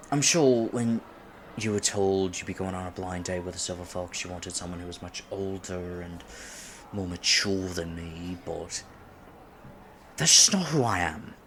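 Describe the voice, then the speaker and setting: A man's voice: sits low, a bit bright, slight background noise, exaggerated voice. A man acting in a roleplay audio drama as an awkward, geeky man on a blind date, stammering, flustered and self-deprecating. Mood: apologetic, dejected.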